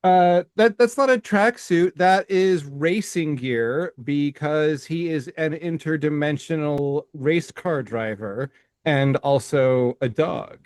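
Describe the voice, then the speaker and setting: A middle-aged man co-hosting a casual podcast, speaking in halting phrases with many restarts and stammers. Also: a little high, darkish tone, dry recording, clean studio sound. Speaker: podcast co-host. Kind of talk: casual chat.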